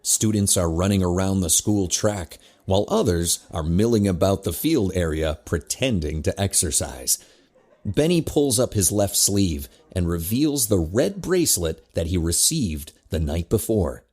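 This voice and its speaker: A man's voice: low voice, lively intonation, a little slow, a little mellow. A man reading scripted narration for an audio drama, describing the action as narrator.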